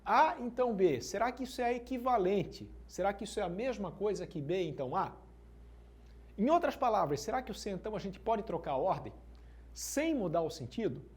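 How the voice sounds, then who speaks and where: A man's voice: high voice, expressive, a little fast. A male teacher giving an exam-prep lesson at a whiteboard, slangy and emphatic, checking in with students often. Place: classroom at a whiteboard.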